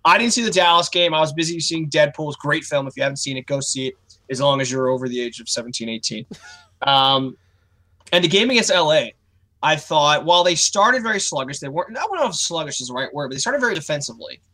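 A male co-host with a slightly raised pitch, talking in an opinionated, casual way. He grows animated when he rants. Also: fast talker. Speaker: podcast co-host, youth coach. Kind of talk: casual sports podcast chat.

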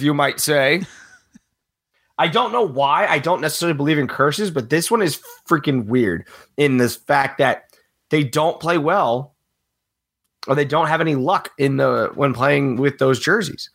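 A man with a cold speaks in a slightly raspy voice on a casual sports podcast. His pitch swings widely as he rambles through his opinions, and he breaks into laughter often. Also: a bit bright. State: has a cold, a little raspy. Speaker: regular podcast co-host.

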